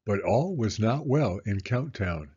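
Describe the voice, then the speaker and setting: A man reading a children's storybook aloud as narrator, with expressive, deliberate phrasing and slightly dull sound. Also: lowish voice, husky.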